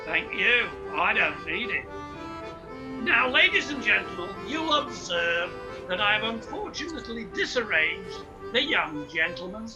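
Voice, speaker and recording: Radio play role: performer as slick, grandiloquent street hawker. Unhurried, highly animated delivery over music. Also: poor audio. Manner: grandiloquent showman's patter. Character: brash, slick street hawker of toothpaste and hair tonic. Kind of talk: theatrical radio play acting, sales patter.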